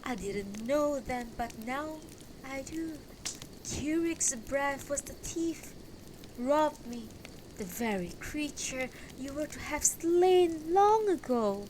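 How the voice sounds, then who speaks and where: A woman's voice: sits high, expressive, takes it slowly, background noise, pitched above the performer's own voice. A woman voicing one of a band of jeering, accusing ghostly widows in rags, with a parched, taunting delivery in a storybook reading. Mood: bitter lament. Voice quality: raspy, parched. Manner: taunting, lamenting, declamatory.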